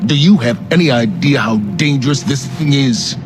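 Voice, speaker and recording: A stern military commander from a video game, played by a male actor. He speaks gravely and with authority in a mid-pitched voice. A game soundtrack plays clearly behind him. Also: moderate pace, over music, lightly acted. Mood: stern, reproachful. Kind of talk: video game cutscene voice acting.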